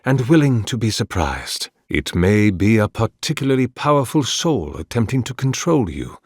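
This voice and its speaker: A man acting a video game role as a weary, courteous, devout scholar-priest of a sun god, speaking in measured, formal, reverent phrases. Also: fairly low pitch, big pitch swings, breathy edge, dry recording, studio quality. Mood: thoughtful. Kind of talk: video game character dialogue, formal and archaic.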